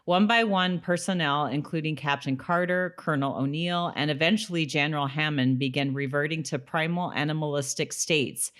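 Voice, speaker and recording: A woman's voice: low-pitched, a bit bright. A woman co-hosting a podcast, chatting in an informal, amused way as she recaps a TV episode. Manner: amused, informal, mimics characters' lines mockingly. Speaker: podcast co-host. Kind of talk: casual recap chat about a TV episode, with some read-out summary.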